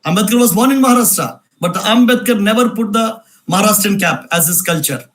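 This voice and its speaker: A man's voice: high voice, fairly quick, a bit bright. A man giving an emphatic lecture, repeating phrases and asking rhetorical questions. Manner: repetitive, insistent, rhetorical questions. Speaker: speaker at a cultural event.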